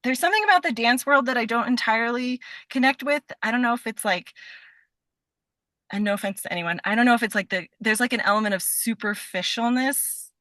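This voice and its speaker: A young American woman with a bright, forward voice at an ordinary pitch, recorded dry and close. She talks casually and effusively, circling through her sentences and peppering them with "like" as she goes. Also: fairly quick, dry recording. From Nevada, United States. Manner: effusive, rambling, peppers talk with "like". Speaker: podcast guest, stage and voice actor. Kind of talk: casual chat.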